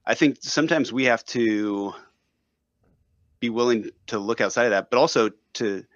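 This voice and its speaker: A man's voice: medium pitch, moderate pace, no room sound, muffled recording. A man speaking as a guest in a casual discussion, easygoing and thinking aloud.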